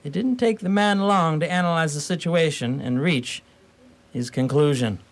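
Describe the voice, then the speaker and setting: A man's voice: a little high, normal speed. A man narrating a scripted comic radio story in a wry, mock-formal way.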